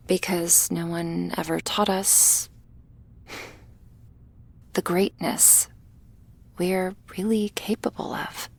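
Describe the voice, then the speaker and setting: A woman playing a shy, awkward young female vampire in an intimate ASMR roleplay, speaking softly and hesitantly, often close to a whisper. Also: on the low side, gravelly, husky, heavily acted. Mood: earnest, reassuring. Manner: hesitant, halting, soft, often near a whisper.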